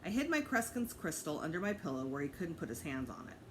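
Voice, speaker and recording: A woman teacher reading a children's storybook aloud in an expressive narrating style. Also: sits low, quick pace, slight background noise, rough recording.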